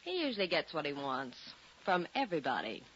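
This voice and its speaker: Bright-voiced radio receptionist. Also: female voice, sits low, huge pitch swings, fairly quick, exaggerated voice. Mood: arch. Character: brisk, gossipy female office receptionist. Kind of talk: 1940s radio drama acting. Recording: dull lo-fi old radio recording.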